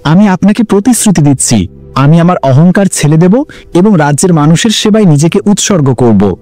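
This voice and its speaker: A woman's voice: on the low side, expressive, fairly quick, warm tone, no room sound, faint music behind, close to an everyday voice. A man voicing a once-proud young princess who now speaks earnestly and humbly in a storybook tale.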